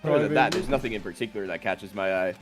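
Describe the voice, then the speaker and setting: A man's voice: lowish voice, lively intonation, rapid-fire. A man livestreaming a game, reading dialogue aloud and breaking off into casual, joking asides. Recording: music playing behind the voice.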